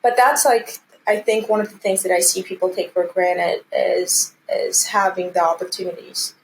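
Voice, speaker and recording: A woman's voice: lowish voice, fairly even intonation, normal speed, creaky, a little hiss or hum, low-quality recording. A woman in her thirties from Armenia, speaking earnestly and encouragingly as she answers interview questions conversationally. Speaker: interview guest, commercial real estate team lead.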